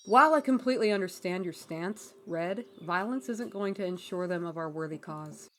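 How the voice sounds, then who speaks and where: An earnest young rebel princess from a fantasy audio drama, acted out by a woman. She speaks in a measured, polite way, diplomatic and reassuring, with a streak of gentle exasperation. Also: fairly low pitch, expressive, voice pushed down. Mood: exasperated. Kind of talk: fantasy audio roleplay acting.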